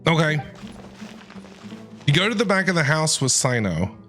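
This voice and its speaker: A man reacting live to a game as a streamer, casual, expressive and exclamatory. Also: a little high, normal speed, music in the background.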